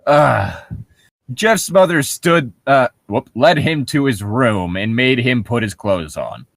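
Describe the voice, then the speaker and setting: Animated man riffing on a story. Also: mid-range voice, slow pace, creaky, no echo. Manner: sarcastic, profane, nitpicking. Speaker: host reading a story aloud with mocking commentary. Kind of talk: casual riffing between read-aloud story passages.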